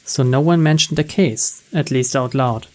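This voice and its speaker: A man's voice: mid-range voice, normal speed. A man reading a game's text aloud as dramatic scripted narration. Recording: steady rain noise behind. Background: rain.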